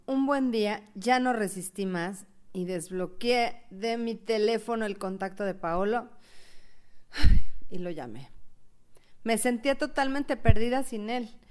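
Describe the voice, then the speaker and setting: Heartbroken, high-voiced young woman, acted by a woman. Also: close to an everyday voice. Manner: emotional, dramatic. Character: heartbroken, anxious young woman, obsessed with her ex-boyfriend. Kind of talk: radio drama acting with first-person narration.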